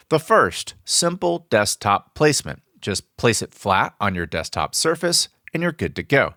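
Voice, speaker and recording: A man delivers scripted instructional narration with a polished presenter's delivery. His voice is bright and forward, with very animated, swooping intonation over a middle pitch. The sound is close and dry, like a studio voice-over. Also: no room sound. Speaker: training video narrator. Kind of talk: scripted product training narration. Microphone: close studio voice-over mic, dry.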